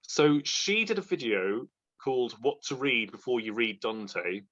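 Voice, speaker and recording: A man's voice: mid-range voice, big pitch swings, measured pace, fairly bright tone, highs cut off. A man in his thirties chatting casually and enthusiastically about books, joking at his own expense as he co-hosts. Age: 30s. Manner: enthusiastic, jokey, self-deprecating. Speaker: book vlogger and readalong co-host. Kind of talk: casual unscripted book chat.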